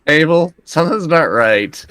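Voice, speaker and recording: A man's voice: fairly high pitch, animated, measured pace, a bit bright, clean tone, dry recording. A man playing a tabletop roleplaying game, speaking casually and often in character, hesitating and repeating his words.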